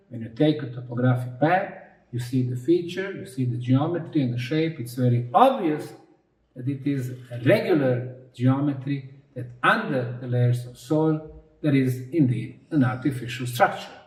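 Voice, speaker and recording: A man from Bosnia giving an explanatory lecture as a researcher, speaking in short, deliberate, emphatic phrases. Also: medium pitch, animated. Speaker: researcher presenting his analysis. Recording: roomy echo, distant microphone.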